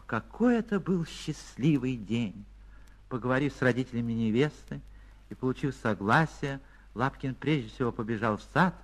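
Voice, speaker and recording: Expressive male storyteller. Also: medium pitch. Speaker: actor reading a short story. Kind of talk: dramatic literary narration for radio.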